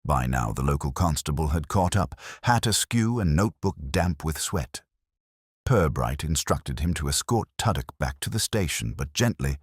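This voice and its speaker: Male narrator for a mystery story: very deep, lively pitch, wry and measured, dry close mic. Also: husky, no echo.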